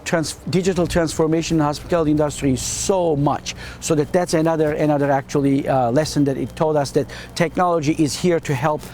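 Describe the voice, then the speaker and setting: A man from Turkey with a Turkish accent, a hospitality dean answering quick interview questions earnestly and often repeating words as he searches for phrasing. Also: on the high side, fairly even intonation, a little mellow, a little hiss or hum.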